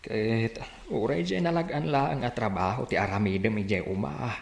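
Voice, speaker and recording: An actor playing a gentle, ailing elderly father with an Ilocano accent in a radio drama, speaking softly and hesitantly. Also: medium pitch, moderate pace, gravelly, reverberant, natural voice. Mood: gently worried.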